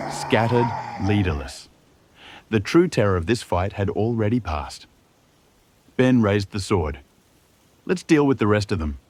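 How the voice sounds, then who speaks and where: A man's voice: lowish voice, a little hiss or hum. A man reading scripted fantasy narration as a storyteller, dramatic and suspenseful.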